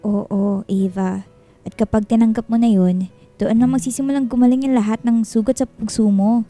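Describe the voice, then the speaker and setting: Woman acting as a frank, caring best friend, high-pitched. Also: fairly even intonation, normal speed, dark tone, faint music behind, close to an everyday voice. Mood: earnest advising. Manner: candid, consoling, advising. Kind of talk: Filipino radio drama acting.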